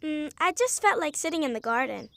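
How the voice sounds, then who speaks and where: High-voiced, stubborn cartoon girl, Moo Moo. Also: lively intonation, fairly quick, creaky, fairly bright tone, full character voice. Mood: dismissive. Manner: matter-of-fact, dismissive. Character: stubborn, aloof young female cartoon character, Moo Moo. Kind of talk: animated kids' cartoon dialogue.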